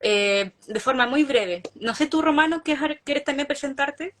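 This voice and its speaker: A woman's voice: high-pitched, a little slow, a bit bright. A woman, an animal rights activist, giving an earnest explanation of her views on an online video call. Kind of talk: casual online talk.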